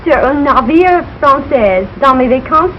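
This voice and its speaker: An actress playing a bright, placating wife in a 1940s radio sitcom. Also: female voice, sits high, expressive, measured pace. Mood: delighted. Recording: dull, noisy old radio broadcast.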